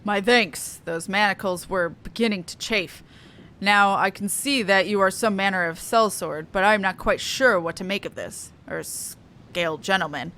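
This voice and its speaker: A woman's voice: medium pitch, normal speed, sharp tone. A woman voicing a haughty, indignant noble captive from a video game, pompous and formal. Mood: wary, haughty. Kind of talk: video game dialogue read aloud.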